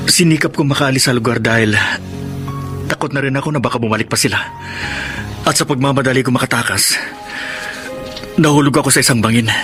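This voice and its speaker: A man's voice: mid-range voice, close to an everyday voice. An actor playing an earnest, polite soldier longing for his family in a Filipino radio drama. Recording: radio drama with music underneath.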